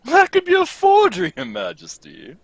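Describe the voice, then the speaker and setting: A man playing a fussy, eager, loyal gentleman sidekick in a radio mystery, polite, exclamatory and inquisitive. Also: very high-pitched, huge pitch swings, moderate pace, no room sound, exaggerated voice. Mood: astonished. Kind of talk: old-time radio mystery acting, read live.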